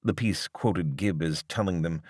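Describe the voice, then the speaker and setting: A man reading a scripted piece of long-form journalism as narrator, in a measured, articulate way. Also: sits low, a little mellow, no room sound, clean studio sound.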